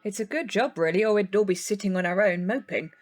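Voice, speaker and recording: A woman's voice: mid-range voice, quick pace, close to an everyday voice. A woman playing a candid, direct woman with a dry, teasing manner in an audio drama. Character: candid, dryly teasing woman, unafraid to challenge.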